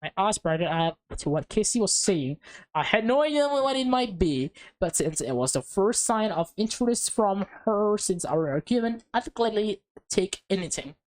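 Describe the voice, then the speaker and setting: A woman's voice: mid-range voice, lively intonation, moderate pace, darkish tone, poor audio. A woman reading visual novel text aloud as a let's player, with casual commentary mixed in.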